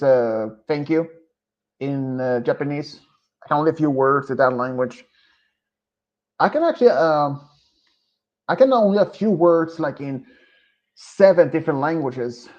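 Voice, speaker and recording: Male streamer, Swedish accent, English not his first language; unhurried, rambling, full of fillers; muffled low-fi mic. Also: a little mellow. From Sweden. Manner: hesitant and rambling, with many pauses and fillers. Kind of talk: casual live-stream chat.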